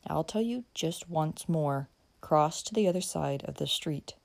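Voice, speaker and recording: A woman voicing a calm, protective young gentleman in an audiobook, speaking in a steady, matter-of-fact way. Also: low voice, measured pace, vocal fry, full character voice. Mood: firm.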